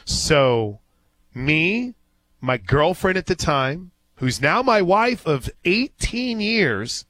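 A man's voice: on the high side, very animated, very slow, fairly bright tone, no room sound, poor audio. A man hosting a live sports radio show, telling stories in a casual, folksy, exclamatory way.

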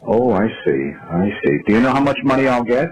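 A man strings along a telemarketer with deadpan, mock-innocent questions. His mid-pitched voice moves around expressively. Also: brisk, low-quality recording. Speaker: host fielding a telemarketer's call. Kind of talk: prank phone call.

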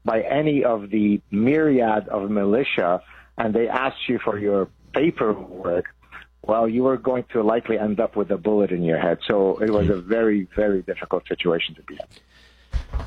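Husky man in his sixties, phone-like line. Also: fairly low pitch, moderate pace, no room sound. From Lebanon. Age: 60s. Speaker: interview guest, professor and author. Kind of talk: explanatory interview talk. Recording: narrow tinny phone-like line.

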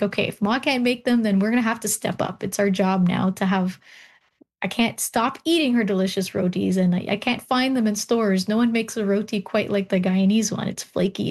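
A young woman, a doctoral researcher, talks as an interview guest about her work and her family. Her storytelling is animated, full of laughs and restarted thoughts. Her pitch sits in the middle.

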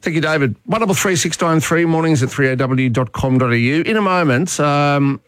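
A man's voice: on the high side, brisk, dry recording. A middle-aged man hosting talkback radio, chatting and joking in a loose way, with plenty of restarts. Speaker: radio talk show host. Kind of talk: casual talkback radio chat. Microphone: studio microphone.

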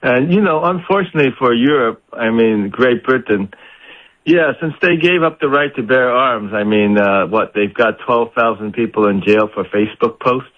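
A man's voice: medium pitch, moderate pace, phone-quality sound. A man speaking as a guest on a radio show, giving his opinions with some hesitant starts. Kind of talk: casual conversation.

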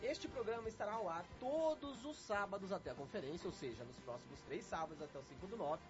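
High-voiced Brazilian man hosting over loud music. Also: animated, fast. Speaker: radio host. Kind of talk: lively radio chat, addressing listeners. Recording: muffled band-limited sound over loud music.

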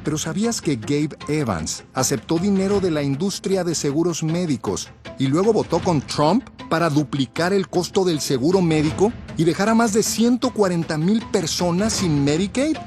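A man's voice: a little high, expressive, moderate pace, few pauses, a little mellow, soft background music. A man reading a political radio ad in an urgent, persuasive voice. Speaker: ad announcer. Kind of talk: political ad voice-over.

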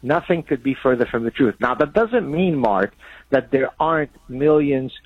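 A man from Lebanon, Arabic his first language, argues his points with force and emphasis. His voice comes through a thin, tinny phone-like line. The sound is husky and very dry. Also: mid-range voice, lively intonation, moderate pace, no echo. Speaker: guest. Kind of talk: emphatic commentary.

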